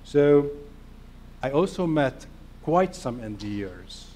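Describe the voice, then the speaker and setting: A middle-aged man from Hungary with a Hungarian accent, giving an earnest, self-deprecating conference presentation in halting non-native phrasing. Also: medium pitch, very animated, mellow. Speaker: conference speaker.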